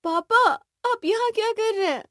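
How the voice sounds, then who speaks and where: Woman playing affectionate young bride in audio drama, high animated voice, emotional, teasing streak. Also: normal speed, dry recording, clean studio sound. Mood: tender, upset.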